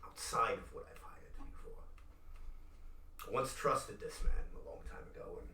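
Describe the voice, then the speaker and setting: A man voicing a gracious, regal king in a tabletop game, speaking in measured, formal phrases. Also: medium pitch, pushed and bright. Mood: grateful, solemn. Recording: roomy, distant mic with faint noise.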